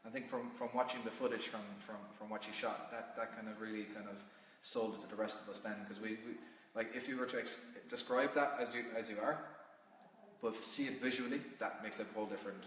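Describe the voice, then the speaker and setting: Irish man, interviewer, quick pace, chatty, strongly reverberant hall, informal delivery. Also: lowish voice, steady tone, a bit bright. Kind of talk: casual interview chat. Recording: very echoey hall, distant mic, faint noise.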